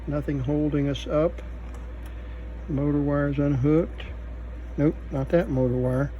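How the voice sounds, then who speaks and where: A man giving unscripted hands-on repair commentary, halting and thinking aloud as he works. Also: fairly high pitch, fairly even intonation, muffled recording. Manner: halting, muttering thoughts aloud while working. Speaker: vintage electronics repair video host. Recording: faint background noise.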